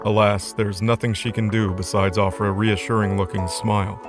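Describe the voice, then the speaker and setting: A man's voice: fairly low pitch, flat delivery, normal speed. A man narrating a scripted fantasy story as the narrator of an audio drama. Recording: music under the voice.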